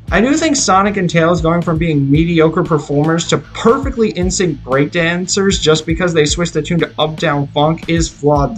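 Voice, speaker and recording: A man reading a scripted film review in an opinionated, explanatory way. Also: fairly high pitch. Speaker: video reviewer. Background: background music.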